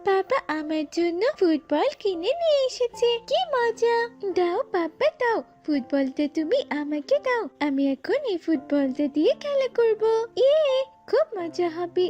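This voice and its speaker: A woman voicing an excitable, gleeful little fox girl in a cartoon. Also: extremely high pitch, unhurried, dull audio, heavily acted. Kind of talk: cartoon dub acting. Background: music.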